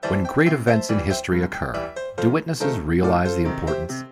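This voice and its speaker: An actor playing a wry sportswriter looking back on the 1920s, narrating in a measured storytelling manner. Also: male voice, low-pitched, big pitch swings, loud music behind the voice. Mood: reflective. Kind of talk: scripted audio drama narration.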